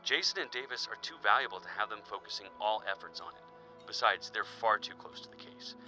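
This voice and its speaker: Deep, sharp-voiced police chief, played by a man. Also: brisk, faint music behind. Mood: firm, urging. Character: male police chief, concerned but firm boss. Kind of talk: audio drama acting.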